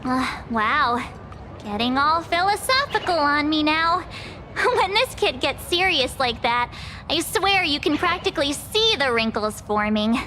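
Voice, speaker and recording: A woman voicing a perky, chatty teenage girl adventurer in an anime-style video game, expressive, casual and teasing. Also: high-pitched, unhurried, a little hiss or hum, exaggerated voice. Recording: background music under the voice.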